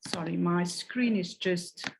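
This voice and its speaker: A woman's voice: fairly low pitch, slow, gravelly, background noise. A woman presenting a health product, reading carefully and stumbling over technical words.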